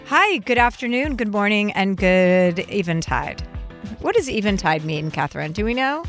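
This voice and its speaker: A woman's voice: mid-range voice, big pitch swings, normal speed, a bit bright, faint music behind. A woman hosting a podcast, chatting casually and joking with her co-host, drifting into asides as she talks. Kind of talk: casual chat.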